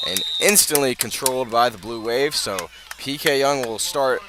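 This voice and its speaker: A man with an American accent giving live play-by-play football commentary for radio, measured when setting the scene and urgent as the action builds. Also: mid-range voice, pushed and bright, slight background noise. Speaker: play-by-play sports announcer.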